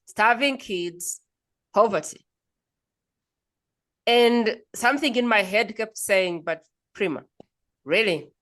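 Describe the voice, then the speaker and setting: Woman podcast host from Uganda, emphatic storyteller, interview chat. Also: medium pitch.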